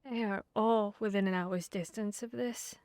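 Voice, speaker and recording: A woman's voice: mid-range voice, steady tone, moderate pace, darkish tone, clear, resonant tone, no echo, clean studio sound, lightly acted. A woman playing an earnest, bookish vampire hunter who thinks aloud and gets slightly flustered, in a conversational ASMR audio roleplay. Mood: earnest explaining.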